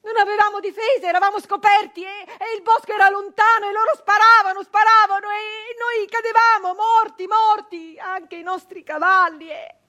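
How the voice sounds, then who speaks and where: Intense, high-voiced doomed brigand woman. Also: bright, forceful tone. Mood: anguished, desperate, then grim, grieving. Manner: intense, halting delivery with repeated phrases. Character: captured 19th-century brigand woman, wounded, bitter, doomed. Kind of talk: dramatic theatrical monologue.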